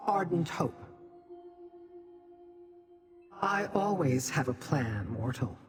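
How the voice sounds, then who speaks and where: An actress playing an imperious, scholarly demon sorceress in a video game, speaking formally and with scorn for mortals. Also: female voice, mid-range voice. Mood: haughty, resolute. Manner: formal, measured, haughty delivery.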